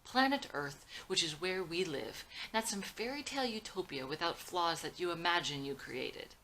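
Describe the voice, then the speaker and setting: A woman acts out an embittered villain son from an adventure script. The voice is sharp and forceful, the manner arrogant and contemptuous, with faint noise in the background. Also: lowish voice, expressive, moderate pace.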